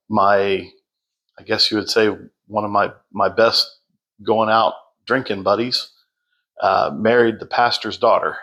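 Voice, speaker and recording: A man telling his story as a podcast guest in a loud, projecting voice, unhurried, with many fillers and restarts. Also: fairly low pitch. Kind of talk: casual storytelling.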